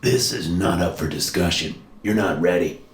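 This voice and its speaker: A man's voice: low-pitched, normal speed, roomy sound, lightly acted. A man voicing a frightened, tearful middle-aged father, raw and strained, in an audiobook. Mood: stern.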